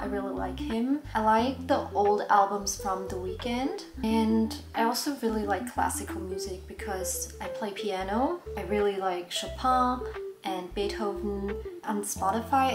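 A woman in her twenties speaks English with a German accent that has picked up some American. She chats casually like a vlogger taking questions, at an average pitch. The room is clearly echoey, and music plays underneath. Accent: German with some American influence. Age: 20s. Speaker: vlogger answering viewer questions. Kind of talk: casual Q&A chat to camera. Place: small bare indoor room. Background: background music.

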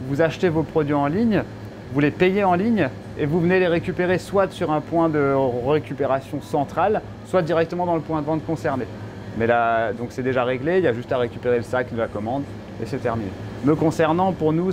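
A man in his thirties, a shop owner, explaining with animated gestures how business and online ordering work in a local TV interview. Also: on the high side, a little fast, noisy background. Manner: explains with lively hand gestures. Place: inside a small bulk-food shop.

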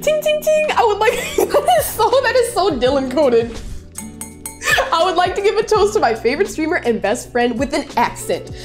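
A high-voiced young woman from the Chicago area streams from her bedroom setup and talks through viewer messages. She is bubbly and effusive and often squeals with excitement. Soft music plays faintly underneath. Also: moderate pace. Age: 20s. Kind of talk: casual live-stream chat reading messages.

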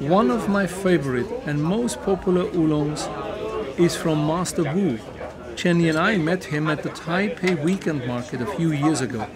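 A man in his fifties with a German accent, talking calmly and reflectively about tea in a documentary. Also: fairly high pitch, a crowd in the background. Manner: calm, unhurried, reflective. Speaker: tea master. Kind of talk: documentary narration and on-location talk.

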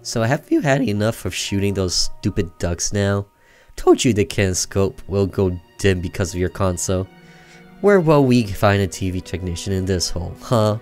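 A man's voice: deep voice, lively intonation, moderate pace, a little mellow, faint music behind. Man reading as an exasperated nagging mom. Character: irritated, scolding mother in a horror game.

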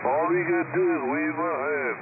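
A man calls out over a long-distance CB channel, repeating himself and standing by between turns. His voice sits slightly high. It comes through thin and tinny, buried in loud static. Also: moderate pace. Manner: repetitive radio call-and-response. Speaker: radio operator. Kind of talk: CB radio chatter. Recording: tinny noisy radio signal.

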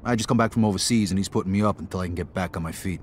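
An actor playing a cocky young American war veteran turned small-time hoodlum in a crime video game, laconic and wry. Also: male voice, low-pitched, racing, a little hiss or hum, lightly acted. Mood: guarded, polite. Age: young adult. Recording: music and vehicle sounds behind. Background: music, vehicle sounds.

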